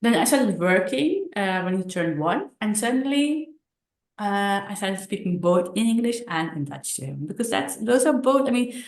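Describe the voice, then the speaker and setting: A middle-aged woman telling personal stories casually as an interview guest, haltingly, repeating words and restarting sentences. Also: mid-range voice, big pitch swings. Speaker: podcast guest, author. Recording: roomy echo on the voice.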